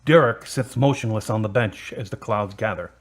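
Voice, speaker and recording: A man's voice: lowish voice, moderate pace. A man reading scripted narration as the narrator of an audio drama. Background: music.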